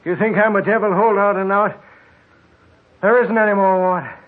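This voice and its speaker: A man playing a stubborn, level-headed desert traveler in old radio drama style, gruff, insistent and folksy. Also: high-pitched, fairly even intonation, normal speed, close to an everyday voice. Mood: exasperated. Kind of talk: 1940s radio drama acting. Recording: muffled, tinny old radio recording.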